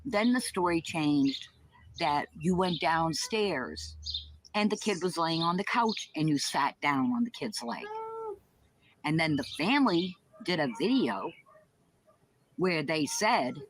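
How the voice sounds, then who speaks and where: A woman's voice: deep voice, lively intonation, takes it slowly. A woman speaking bluntly and confrontationally in a casual, argumentative chat. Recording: noisy, with birdsong chirping behind.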